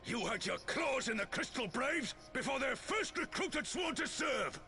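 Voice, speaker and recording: Gravelly, forceful proud general. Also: male voice, very high-pitched, big pitch swings, normal speed, full character voice. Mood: furious. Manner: forceful, declamatory. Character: proud, hot-blooded male general, loyal and righteous. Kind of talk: video game cutscene acting. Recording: game audio with music.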